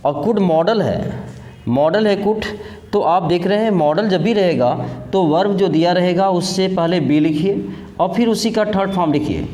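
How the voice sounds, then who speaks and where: A man's voice: high voice, unhurried, mellow. A man with an Indian accent teaching a grammar lesson in an instructional way, repeating key points and mixing in English terms. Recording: echoey room with background noise.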